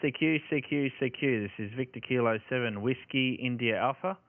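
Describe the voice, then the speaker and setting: A man's voice: medium pitch, normal speed. An Australian man working as an amateur radio operator, making calls and exchanging signal reports in phonetic radio jargon. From Tasmania, Australia. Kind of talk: ham radio calling, phonetic call signs and signal reports. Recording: tinny band-limited audio with radio static.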